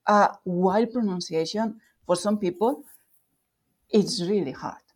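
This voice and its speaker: A woman's voice: medium pitch, measured pace, darkish tone, breathy edge. A woman with a Spanish accent, a researcher being interviewed, explaining her work thoughtfully with fillers and restarts. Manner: thoughtful, with fillers and self-corrections. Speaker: guest researcher.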